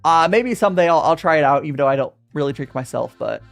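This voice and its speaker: A man's voice: a little high, animated, quick pace, gravelly, soft background music. A young man hosting a casual livestream Q&A, reading viewer questions aloud and answering with hesitant, stop-start phrasing full of restarts. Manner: hesitant, many restarts, reads chat aloud.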